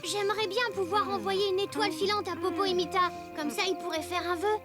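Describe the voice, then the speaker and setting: A woman's voice: extremely high pitch, steady tone, brisk, loud music behind the voice. A woman voicing a sweet, eager young animal child in a children's cartoon, cheerful and full of wonder.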